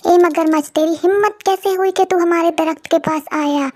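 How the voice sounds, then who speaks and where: A woman's voice: very high voice, steady tone, normal speed, darkish tone. A woman voicing a bold, protective female crow in a moral cartoon, with an earnest, emphatic manner. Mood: scolding, threatening.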